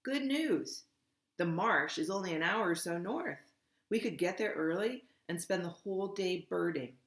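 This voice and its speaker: A woman's voice: on the low side, lively intonation, unhurried, voice pushed up. A woman voicing an earnest, pedantic boy with an insistent way of informing people in a storybook. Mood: eager announcing. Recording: echoey room.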